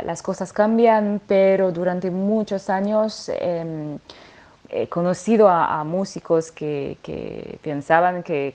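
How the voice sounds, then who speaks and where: A woman's voice: fairly low pitch, mellow. A French woman singer speaking Spanish with a French accent, answering interview questions with many hesitations and restarts. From France.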